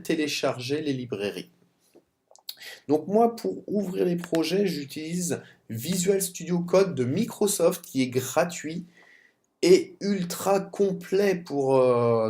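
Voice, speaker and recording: Brief: male tutorial presenter with a French accent, stuffy with a cold, mellow dark tone, halting delivery. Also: medium pitch. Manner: halting, stumbles and restarts words. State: has a cold. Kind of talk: screen-recorded coding tutorial.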